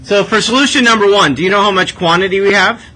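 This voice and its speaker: Bright, high-voiced man tutoring math. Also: fast talker, rough recording. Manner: conversational, often tags questions with "right?". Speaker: math teacher. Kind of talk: step-by-step tutoring with checking questions.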